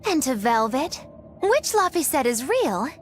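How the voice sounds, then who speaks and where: Casting: small teasing game sidekick, extremely high girlish voice, theatrical swings, pointed questions. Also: very animated, unhurried, soft background music. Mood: teasing, probing. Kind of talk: English video game dub acting.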